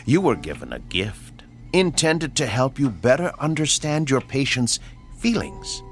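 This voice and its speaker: Creepy polite villain in a radio drama, taunting, very swoopy intonation, music behind. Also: male voice, medium pitch, sing-song. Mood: accusing, smug. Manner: taunting, deliberate, drops into whispers. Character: sinister male tormentor, coolly mocking, menacingly polite. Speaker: actor.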